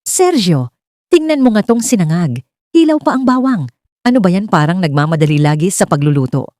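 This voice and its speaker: A woman performs a bitter older mother-in-law from an audio romance drama. She nags and mocks with a highly animated, rising pitch. The sound is close and dry. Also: fairly quick, no room sound. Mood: angry nagging. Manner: nagging, shouting, mocking. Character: sharp-tongued, bitter older mother-in-law, scolding and blaming.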